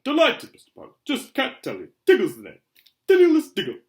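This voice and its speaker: A man voicing an excitable little top-hatted gentleman in a storybook, gushing and exclaiming. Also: very high-pitched, brisk, reverberant, pitched above the performer's own voice, exaggerated voice. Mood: thrilled.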